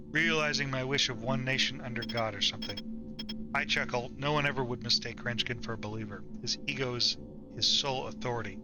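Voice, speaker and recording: Male, let's play host, casual gameplay narration, middling pitch, loud background music. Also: low-quality recording. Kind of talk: casual gameplay commentary with reading aloud of in-game text.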